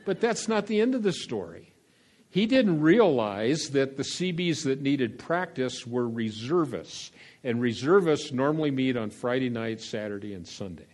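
An older male pastor delivering a folksy sermon, full of fillers and asides, swinging between quiet remarks and loud calls to the congregation. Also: mid-range voice, animated, moderate pace. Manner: folksy, meandering preaching with frequent fillers.

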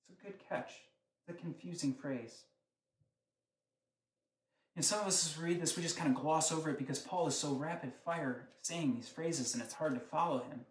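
A man's voice: on the high side, normal speed. A man preaching a sermon, teaching in a conversational way with frequent rhetorical questions. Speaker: pastor. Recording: echoey room.

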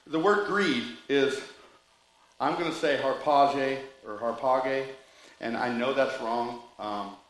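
A man's voice: mid-range voice, a bit bright, echoey room, poor audio. A man preaching a sermon with rambling asides and jokes, reading scripture and telling a story. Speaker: pastor.

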